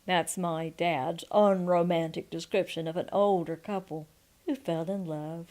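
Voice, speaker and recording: A woman in her sixties reading a folksy memoir aloud as a storyteller, expressive and deliberate, with pauses. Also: on the low side. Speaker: storyteller and audiobook narrator. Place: quiet room at home, indoors. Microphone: microphone on a stand before her, seated.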